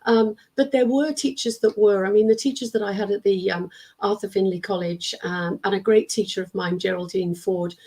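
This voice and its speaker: A middle-aged woman from the UK, a spiritualist medium and teacher, chatting in an interview with a croaky, worn-out voice and acting out little quoted exchanges as she tells her stories. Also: mid-range voice, fairly even intonation, moderate pace. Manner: warm storyteller who acts out quotes of students. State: strained, worn-out throat. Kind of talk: casual interview chat.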